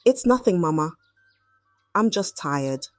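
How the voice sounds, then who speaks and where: A woman's voice: fairly low pitch, expressive, slow pace, no echo, soft background music. Woman voicing an anxious, troubled village son. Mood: troubled, evasive. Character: poor, fearful, troubled son in a folktale. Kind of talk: folktale character voice in narration.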